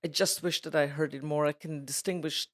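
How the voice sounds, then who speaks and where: A woman's voice: deep voice, steady tone, brisk. An older woman with a layered Slovak, Swedish and English accent, a Slovak native speaker, talking in a thoughtful, digressive way as an interview guest who laughs easily. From Czechoslovakia. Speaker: interviewee, retired program editor. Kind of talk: casual reflective conversation.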